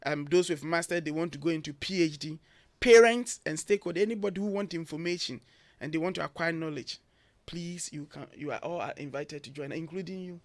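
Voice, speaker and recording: A man with a Ghanaian accent speaks in a fairly high, bright voice, earnest and emphatic as he lays out advice point by point. The sound is close and dry, with no room echo, as in a radio studio. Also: brisk. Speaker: guest, education agency head.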